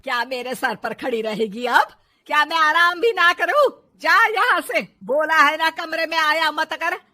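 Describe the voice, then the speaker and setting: A bossy, short-tempered older mother-in-law from an animated moral story, acted out by a woman. She scolds loudly in a high, sharp voice, with drawn-out, sneering commands. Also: heavily acted. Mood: scolding.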